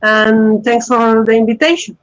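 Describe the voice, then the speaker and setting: Colombian Spanish-accented woman, high voice, hesitant guest, loud phone audio. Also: moderate pace, dry recording, dull audio. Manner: hesitant, apologetic about her English. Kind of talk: casual live chat.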